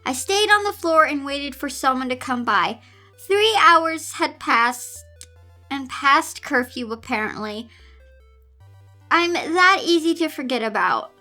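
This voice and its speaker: A woman's voice: high voice, animated, slow pace, bright, forceful tone, soft background music, heavily acted. A woman reading a story aloud as a depressed, sarcastic young girl narrating her own misery. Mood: miserable. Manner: self-pitying, sarcastic. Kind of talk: amateur dramatic story reading.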